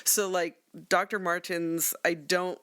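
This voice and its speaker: A woman's voice: lowish voice, level tone, takes it slowly, fairly bright tone, clear, resonant tone, dry recording, studio quality. A woman chatting casually as a game player, self-deprecating and acting out her own thoughts as she talks. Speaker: player on a tabletop game podcast. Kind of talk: casual chat.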